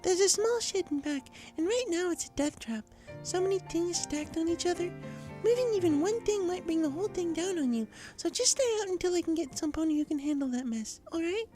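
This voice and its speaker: A woman plays a kindly young pegasus pony from a storybook, with an extremely high, girlish voice. She is gentle and explains things patiently. Music plays clearly behind her. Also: lively intonation, fairly quick. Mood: instructive, cautioning.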